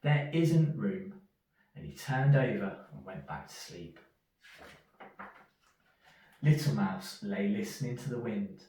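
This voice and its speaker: A man reading a children's story aloud in an expressive storyteller's manner. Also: fairly low pitch, a little slow, long pauses, slight background noise. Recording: echoey room, distant from mic.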